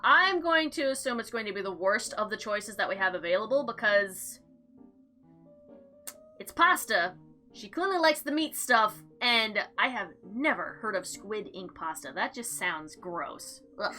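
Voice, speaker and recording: A woman hosting a let's play, reading game text aloud with expressive, slangy commentary and sarcastic asides. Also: medium pitch, a little slow, faint music behind. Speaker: gaming video host.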